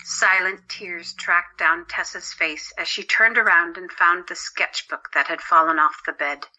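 A woman's voice: fairly low pitch, fairly even intonation, measured pace, pushed and bright, low-quality recording. A woman reading scripted story narration for an audio drama.

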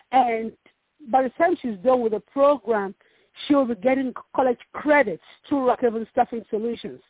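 A woman's voice: a little high, breathy edge, dry recording. A woman from Nigeria with a Nigerian accent, a ministry founder talking earnestly about her work and often repeating words as she searches for them. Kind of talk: casual interview talk. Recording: muffled phone line.

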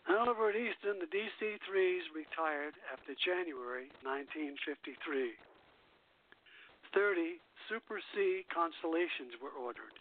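A man's voice: on the high side, expressive, measured pace. An older man hosting a radio show, reading scripted music history and chatting casually between passages. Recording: thin phone-line audio.

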